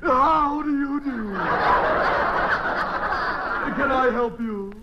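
An actor playing a pushy, wisecracking store credit manager with brash sales patter in an old-time radio comedy. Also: male voice, extremely high pitch, extremely slow, heavily acted. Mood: brash, eager. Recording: dull, slightly noisy vintage radio recording.

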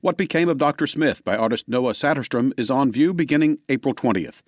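A man reading a scripted radio sponsor announcement in an even, measured way. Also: mid-range voice, no room sound, studio quality.

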